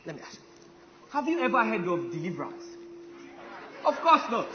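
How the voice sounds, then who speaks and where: A man's voice: high-pitched, wildly swooping pitch, moderate pace. A man playing a boastful, self-important warrior commander in a comic stage play, declaiming pompously. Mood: smug. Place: stage in a large hall with audience. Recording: echoey hall, muffled, noisy audience.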